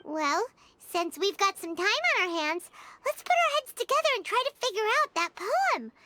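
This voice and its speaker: A woman voicing a small, chirpy fairy-like girl companion in a video game, childlike, squeaky and exclamatory. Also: big pitch swings, moderate pace, very noisy. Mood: pondering. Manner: exclamatory, talks of self in third person. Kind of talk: animated video game dialogue. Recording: music playing behind the voice.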